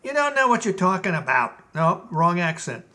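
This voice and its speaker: A man voicing a cool, blunt male gnome general who calmly lectures his king in a storybook reading. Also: high voice, a little slow, reverberant, voice pushed up, exaggerated voice. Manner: dry, matter-of-fact.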